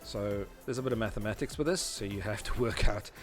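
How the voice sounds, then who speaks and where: A man in his forties giving an informal technical tutorial in a halting, explanatory way. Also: on the low side. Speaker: presenter teaching audio engineering. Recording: music behind the voice. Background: background music.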